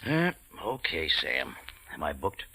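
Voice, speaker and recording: An actor playing a wry, hardboiled American café owner in a 1940s radio drama, speaking laconically. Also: male voice, extremely low pitch, huge pitch swings, takes it slowly, pushed and bright, breathy edge, exaggerated voice. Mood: weary, wry. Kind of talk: 1940s radio drama acting with first-person narration. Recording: old radio recording with organ music.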